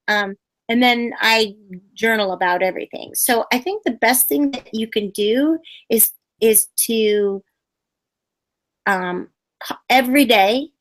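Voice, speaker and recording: Woman puppeteer rambling thru advice, bit high voice, lots of ums. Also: fairly bright tone, poor audio. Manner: rambling, lots of fillers and restarts. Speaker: puppeteer, interview guest. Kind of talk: casual interview chat.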